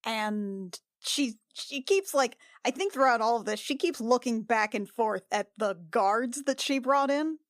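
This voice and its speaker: A woman's voice: on the high side, expressive, unhurried, few pauses, no echo, studio quality. A woman casually improvising as a player in a tabletop game, with an informal, conversational manner.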